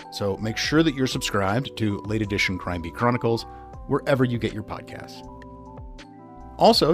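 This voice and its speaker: A man reading a scripted true-crime narration as a podcast narrator. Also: lowish voice.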